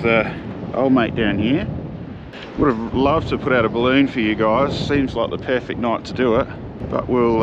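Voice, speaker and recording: An Australian man chatting casually as he films himself fishing from a seaside jetty, relaxed and full of slang. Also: mid-range voice. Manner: laid-back, chatty, slangy. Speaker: fishing vlogger. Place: outdoors on a jetty by the sea. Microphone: camera-mounted mic, first-person view. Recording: dull outdoor camera audio with wind noise. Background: wind and water.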